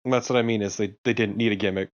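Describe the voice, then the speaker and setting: A man's voice: lowish voice, fairly even intonation, rapid-fire. A man co-commentating casually on video games, recorded with somewhat dull audio.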